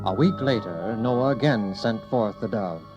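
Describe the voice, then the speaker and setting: A man's voice: fairly low pitch, animated. Solemn male narrator over prominent music. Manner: measured, solemn. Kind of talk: scripted children's Bible story narration. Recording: dull lo-fi audio under music.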